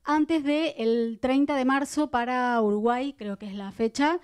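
A woman's voice: sits high, unhurried, clean tone. A woman, a film distribution coordinator explaining press rules politely, with many fillers, into a handheld mic. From Argentina. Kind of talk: press conference announcements. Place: cinema stage at a press conference table.

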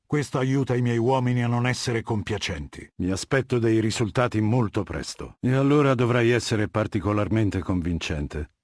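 An actor voicing a cold, haughty middle-aged warlord dictator in a video game, lecturing in a measured, commanding and disdainful way. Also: male voice, on the low side, a little mellow, dry recording, studio quality, natural voice. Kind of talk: video game character dialogue.

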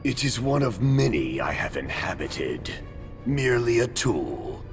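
An actor playing a cold, haughty machine villain in a game cutscene, measured and contemptuous, with a deep gravelly voice. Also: male voice, big pitch swings, over music. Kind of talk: video game cutscene acting.

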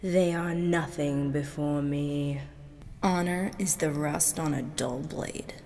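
A young woman in her twenties doing quick impressions of many characters in a cluttered bedroom, switching between whispery, husky and squeaky voices. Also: fairly even intonation, darkish tone, long reverb tail, slight background noise. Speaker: gaming video creator. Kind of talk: rapid-fire character impressions.

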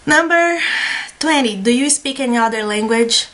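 A woman's voice: a little high, lively intonation, moderate pace, a bit bright. A woman in her thirties with a Brazilian Portuguese accent, chatting casually to a webcam at home with many fillers. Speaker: beauty vlogger. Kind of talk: casual chat. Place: small room at home, indoors. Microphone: webcam at desk.

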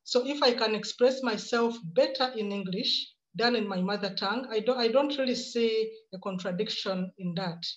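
A Ugandan woman in her fifties speaking on a video call from home, answering a panel question in a measured, reflective way and repeating words as she thinks. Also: on the high side. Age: 50s. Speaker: panelist writer. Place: home room with bookshelves. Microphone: laptop camera on a video call. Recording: muffled video-call audio.

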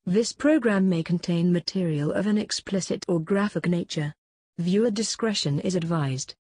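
A woman reading a formal viewer warning in a measured announcer's way. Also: lowish voice, darkish tone, slightly rough, no room sound, clean studio sound.

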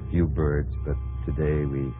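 An actor playing a weary, driven expedition captain, narrating his journal gravely and haltingly in 1950s radio drama style. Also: a bass voice, flat delivery. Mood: grim, weary. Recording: muffled 1950s radio broadcast, music behind.

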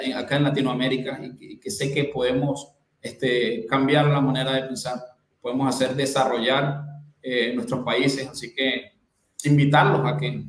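A man's voice: medium pitch, a little hiss or hum. A man in his thirties, a guest on a video-call interview, speaking earnestly with frequent restarts and repeated phrases. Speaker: guest network specialist. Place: bright indoor room. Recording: echoey room.

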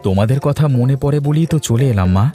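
A man's voice: medium pitch, lively intonation, quick pace, warm tone, faint music behind, lightly acted. A man voicing an earnest, conciliatory adult son in a cartoon family drama.

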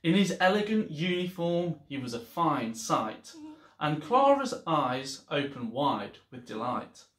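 A man's voice: a little high, big pitch swings, a little slow, a bit bright. A man in his thirties reading a children's storybook aloud in an echoey living room.

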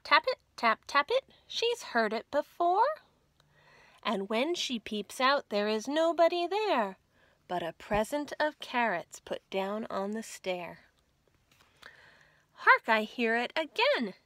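Unhurried woman reading a children's story. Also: fairly high pitch, no room sound. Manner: measured, expressive storytelling for young children. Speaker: library storytime host. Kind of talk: children's picture-book read-aloud. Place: small indoor room at home with bookshelves.

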